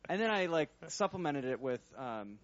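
A man's voice: on the high side, sing-song, normal speed, no echo, lo-fi sound. A man, a user researcher, talking in a casual workshop Q&A, rambling and hesitant with many fillers.

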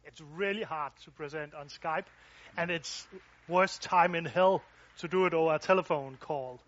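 Man with a higher voice. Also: moderate pace, fairly bright tone, dull audio. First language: Danish. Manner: restarts and repeats words, plainspoken. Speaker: workshop presenter. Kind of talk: answering audience questions.

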